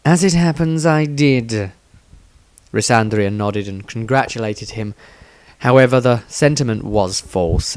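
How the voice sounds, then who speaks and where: A man's voice: on the low side, clear, resonant tone, no echo. Male fantasy storyteller, dry sound. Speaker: author narrating his own story. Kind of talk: dramatic scripted fantasy audiobook narration.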